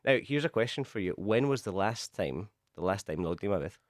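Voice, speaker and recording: A man with a cold and a blocked-up nose, hosting a radio show that teaches English and explaining phrases slowly and patiently. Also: lowish voice, expressive, no echo, studio quality. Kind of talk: bilingual radio lesson chat.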